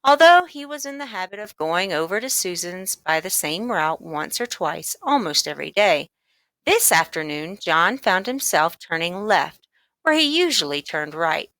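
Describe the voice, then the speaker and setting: Expressive woman reading a children's story, bright voice. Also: on the low side, normal speed, no room sound. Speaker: storybook reader. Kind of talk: scripted narration, children's read-aloud.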